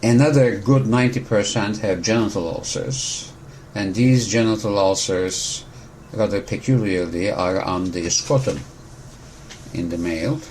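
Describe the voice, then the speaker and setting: A man explains medical matters in measured, careful sentences. He often stops to correct and rephrase himself for precision, and a faint hiss sits under his words. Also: fairly low pitch, darkish tone, low-quality recording. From Turkey. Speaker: physician, disease expert. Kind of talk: explanatory medical interview answers.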